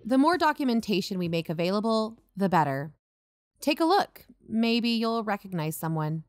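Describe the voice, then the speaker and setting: A woman's voice: medium pitch, big pitch swings, normal speed, no room sound, soft background music, close to an everyday voice. An actress playing a blunt, matter-of-fact young woman co-hosting an investigative podcast in an audio drama. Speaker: podcast co-host. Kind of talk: fiction podcast narration and dialogue.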